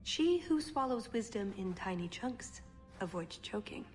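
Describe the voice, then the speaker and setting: A woman voicing a poised, self-assured female spy in a video game, speaking in a measured, wry, philosophical way. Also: medium pitch, animated, a little mellow, soft background music, close to an everyday voice. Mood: serene.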